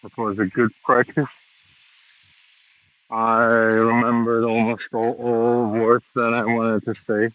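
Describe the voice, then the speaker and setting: Guy talking english real slow on a walk outside, lots of uh's, kinda muffled phone sound. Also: mid-range voice, monotone. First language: Russian. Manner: slow, hesitant learner English with many pauses. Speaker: vlogger practicing English. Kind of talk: casual walking vlog monologue. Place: outdoors, walking around a city.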